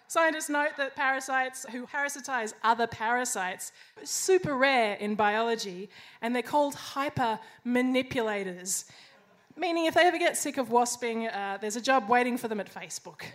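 Woman hosting a comedy show at a bar, high voice, quick jokes, giggles a lot. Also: fairly bright tone. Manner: quick, jokey, giggling between lines. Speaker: comedy debate host. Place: live bar stage with audience.

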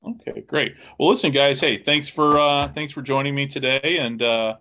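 A man's voice: mid-range voice, normal speed, sharp tone. A man hosting a podcast in a casual, folksy, affable interview manner. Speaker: podcast host. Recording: faint background noise, clicks.

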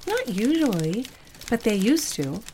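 A woman's voice: on the high side, big pitch swings, measured pace, mellow, a little hiss or hum. A woman playing a pragmatic, worldly office colleague in an audio drama, giving matter-of-fact advice. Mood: advising.

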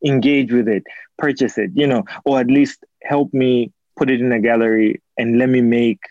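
A man's voice: medium pitch, fairly even intonation, moderate pace, a little mellow, dry recording, dull audio. A young man from Zambia, an artist and PhD student, giving thoughtful interview answers with many fillers and restarts.

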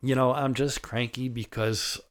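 A man in his sixties with a raspy voice from a partly paralyzed vocal cord, talking candidly and wryly as host of a solo podcast. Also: mid-range voice, level tone, a little slow. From United States. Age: 60s. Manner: candid, wry and conversational, with chuckles. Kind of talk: reflective solo podcast monologue.

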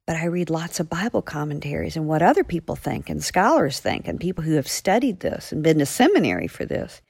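A woman author talks earnestly and chattily, her voice sitting fairly low for a woman. The sound is dry and close to the mic. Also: very animated, brisk, creaky, darkish tone, breathy edge, dry recording. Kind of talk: podcast guest answer.

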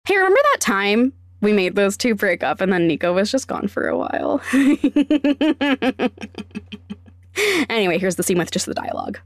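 A woman hosting a podcast, giving scripted instructional narration with deliberate, emphatic step-by-step phrasing. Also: medium pitch, big pitch swings, no room sound, studio quality. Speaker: podcast host, sound designer.

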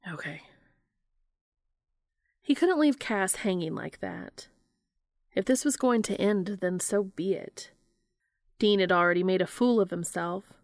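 A young adult woman reading fan fiction aloud in a slow, even storytelling manner. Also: mid-range voice, creaky, dry recording, clean studio sound.

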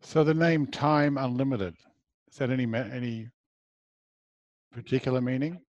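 A man's voice: mid-range voice, moderate pace, no room sound, lo-fi sound. A man with an Australian accent hosting a travel show, reading a scripted intro and then chatting with enthusiasm. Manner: enthusiastic, affirming.

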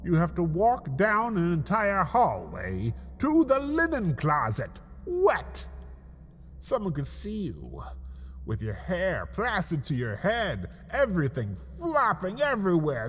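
Theatrical high-pitched man, wildly swooping narration. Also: wildly swooping pitch, fairly bright tone. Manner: theatrical, melodramatic, with dramatic pauses. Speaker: writer and narrator of a comedy story podcast. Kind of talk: scripted second-person comic horror narration.

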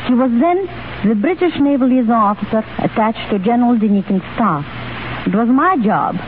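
High-voiced woman on crackly 1930s radio. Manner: formal, carefully worded storytelling. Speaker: guest recounting experiences. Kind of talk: scripted 1930s radio interview. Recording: noisy, narrow-band 1930s radio broadcast.

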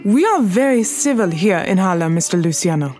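A woman's voice: fairly low pitch, animated, a little fast, darkish tone, soft background music, lightly acted. An actress playing a proud, defiant Harlem crime queen in a period radio drama, elegant and commanding, with formal, precise diction.

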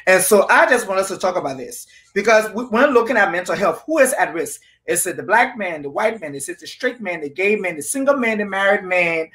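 A man's voice: high-pitched, animated, brisk, few pauses, fairly bright tone. A man hosts a conversational interview. He speaks casually, often circling back and rewording his thoughts. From Liberia, West Africa. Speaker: interviewer, host.

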